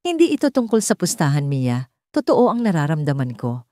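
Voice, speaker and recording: A woman voicing a remorseful young man who pleads his love earnestly and haltingly in a romance story. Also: deep voice, very animated, warm tone, no echo, clean studio sound. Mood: insistent.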